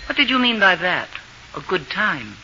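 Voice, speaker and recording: An actress playing a calm, wise village mother in a 1940s radio drama, firm, dry and understated. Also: on the low side, moderate pace, noisy background. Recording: muffled old radio broadcast, music behind.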